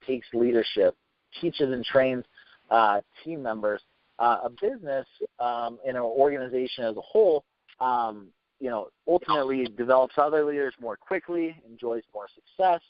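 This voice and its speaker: A man hosting a business training call, giving an informal motivational talk with halting, repetitive, hesitant phrasing. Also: medium pitch, no room sound. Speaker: sales team leader hosting a training conference call. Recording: muffled conference phone call.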